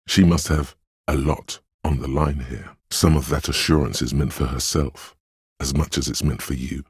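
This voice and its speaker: Very deep, husky inner voice of empathy. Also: male voice, fairly even intonation, dry recording, studio quality, full character voice. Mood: grave, perceptive. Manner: measured, intimate, solemn. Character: inner voice of empathy, male, grave, compassionate, perceptive narrator. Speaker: game voice actor. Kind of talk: scripted second-person game narration.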